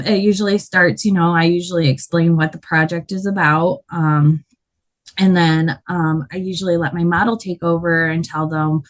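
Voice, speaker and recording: Loud animated woman with a low-set voice. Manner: animated, laughs often. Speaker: guest, body painter. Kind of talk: casual storytelling interview.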